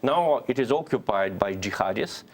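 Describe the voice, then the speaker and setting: A man's voice: lowish voice, expressive, moderate pace. A man from Russia with a Russian accent, speaking as a sardonic, argumentative commentator in a political panel discussion.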